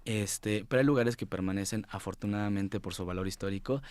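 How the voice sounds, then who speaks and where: A man's voice: fairly low pitch, fairly quick, a little mellow, dry recording. A man with a Mexican accent chatting informally as an interview guest, explaining things in a hesitant way. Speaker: studio guest, guide editor. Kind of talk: casual interview chat.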